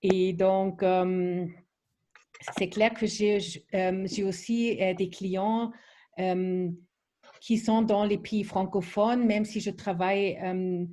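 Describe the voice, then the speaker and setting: German-accented woman, slow and hesitant. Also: mid-range voice, level tone, dark tone, a little hiss or hum. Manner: hesitant, many fillers and restarts. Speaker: presenter of a training webinar. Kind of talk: online training presentation.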